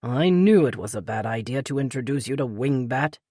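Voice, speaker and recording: A woman voicing a polite, unflappable older British gentleman with a clipped British accent. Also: extremely low pitch, lively intonation, moderate pace, no room sound, clean studio sound, full character voice. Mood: calm, polite.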